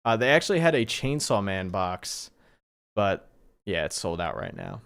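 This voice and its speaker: Clear-voiced man chatting casually on livestream. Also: on the low side, big pitch swings, normal speed, a bit bright. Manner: informal, slangy, appreciative of viewers. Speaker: live streamer and host. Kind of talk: casual livestream chat with viewers.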